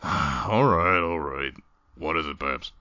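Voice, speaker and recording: A man's voice: extremely low pitch, expressive, takes it slowly, pushed and bright, no room sound, muffled recording, heavily acted. A man voicing a laid-back, teasing skeleton brother in a comic dub, talking in a casual, wry drawl. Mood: weary. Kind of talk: animated comic dub acting.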